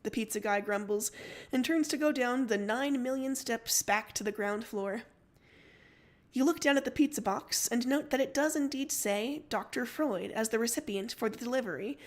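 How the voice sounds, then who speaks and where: Narrator: woman, fairly high-pitched, expressive read-aloud fiction delivery. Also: normal speed. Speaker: story narrator. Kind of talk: expressive fiction reading on a livestream.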